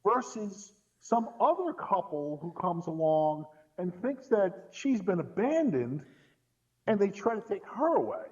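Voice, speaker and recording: A man's voice: high-pitched, very animated, unhurried, a little mellow. A man co-hosting a film podcast, giving emphatic opinions in casual discussion, on a slightly dull recording.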